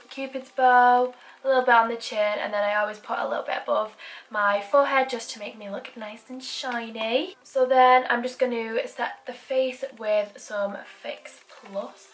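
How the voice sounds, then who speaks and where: A chatty young woman with a Mancunian accent talks through her makeup routine, her voice sitting a touch higher than most. She keeps up a running patter of jokey, self-deprecating asides as she works, and faint music plays underneath. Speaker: beauty vlogger.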